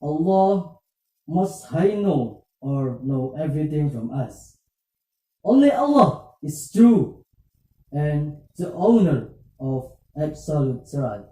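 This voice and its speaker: A puppet show presenter, speaking scripted religious dialogue in halting, deliberate English. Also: medium pitch, very animated, dark tone. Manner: halting, deliberate phrasing in non-native English. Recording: roomy echo, distant muffled microphone.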